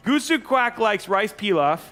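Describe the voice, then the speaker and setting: A man's voice: high voice, big pitch swings, a little slow, a bit bright, faint music behind. A young man hosting a casual live stream while building a PC, playful and teasing with his co-host.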